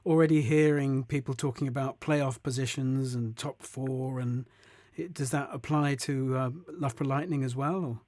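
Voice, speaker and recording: A man working as a sports interviewer, asking conversational questions in a halting, tentative way. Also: medium pitch, fairly even intonation, a little mellow, no echo.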